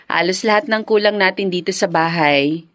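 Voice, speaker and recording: A woman's voice: lowish voice, moderate pace, fairly bright tone, no room sound, studio quality, natural voice. A woman playing a caring, playful young Filipino wife and mother in a radio drama, tender and chatty. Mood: playful, content.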